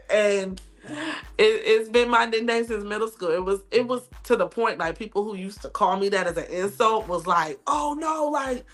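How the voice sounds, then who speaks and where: A woman's voice: mid-range voice, moderate pace. A young adult woman, a musician, chatting openly in a casual podcast interview and laughing often. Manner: laughs often, warm and open. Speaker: guest musician.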